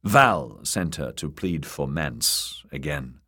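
A man's voice: very deep voice, lively intonation, slow pace, sharp tone, slightly rough, pitched below the performer's own voice, heavily acted. A man voicing a stern, weary young military commander in an audiobook, terse and used to giving orders. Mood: grave.

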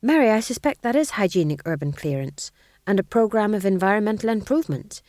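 A woman voicing a nervous, quick-witted male court jester in a storybook, with a deferential and eager patter. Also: medium pitch, animated, a little mellow, dry recording, clean studio sound, pitched above the performer's own voice, natural voice. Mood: placating.

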